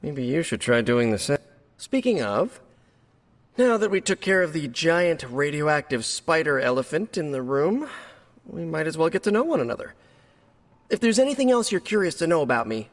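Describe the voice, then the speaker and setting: A man's voice: a little high, sing-song, normal speed, big-room sound. A man playing a young American superhero in a game cutscene, chatty, jokey and self-deprecating, with nervous earnest rambling. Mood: nervous, joking. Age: young adult. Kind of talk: video game cutscene acting.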